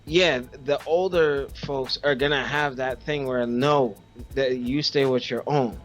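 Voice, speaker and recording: A man talks casually as a podcast co-host, at a middling pitch. He tells personal stories in a halting way, doubling back on words to stress them. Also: fairly even intonation, faint music behind. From Haiti.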